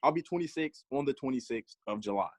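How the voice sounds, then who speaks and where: A man's voice: mid-range voice, expressive, fast talker, dry recording. A man in his mid twenties, a gym teacher answering interview questions in an easygoing, reflective way. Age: 20s. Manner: easygoing, reflective, light laughs. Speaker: physical education teacher, guest.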